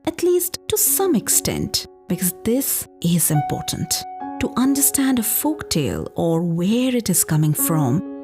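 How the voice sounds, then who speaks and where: A woman podcast host telling folktales, expressive and deliberate, drawing out words for emphasis. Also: on the low side. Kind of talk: expressive folktale storytelling narration.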